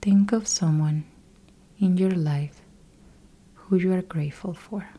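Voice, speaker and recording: A woman guiding a yoga nidra meditation in a soft, breathy, husky voice, calm and gentle, leaving long pauses between short instructions. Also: fairly low pitch. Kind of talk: guided meditation, slow instructional.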